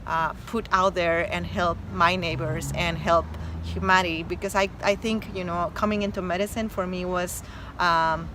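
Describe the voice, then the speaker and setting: A woman's voice: lowish voice, steady tone, normal speed, background noise. A woman from Mexico with a Mexican Spanish accent, a doctor talking earnestly and emphatically as a guest, with many fillers and restarts. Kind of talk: casual interview chat.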